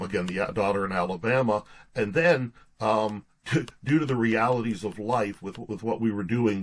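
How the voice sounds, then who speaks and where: Middle-aged male interview guest, lowish pitch, conversational storyteller with a down-home manner. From Pittsburgh, United States. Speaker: guest, pastor and author.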